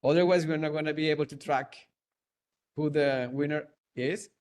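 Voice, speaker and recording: A man with a Venezuelan Spanish accent and a fairly high voice runs a trivia webinar. He is playful, jokes a lot and laughs easily. He speaks into a computer mic on a video call. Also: fairly even intonation, normal speed, darkish tone. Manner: playful, laughs often, hums and sings along. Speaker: webinar host. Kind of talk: hosting a live trivia webinar.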